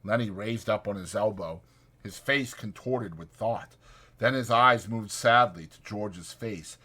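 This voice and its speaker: A man's voice: fairly low pitch, measured pace, pushed and bright, breathy edge. A man narrating fiction as a scripted, dramatic audiobook reading.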